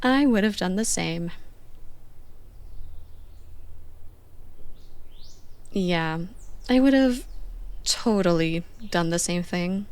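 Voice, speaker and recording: A woman's voice: fairly low pitch, animated, a little slow, a little mellow, clear, resonant tone. Woman doing a playful bossy young girlfriend for asmr roleplay, teasing chatty delivery, no room echo at all.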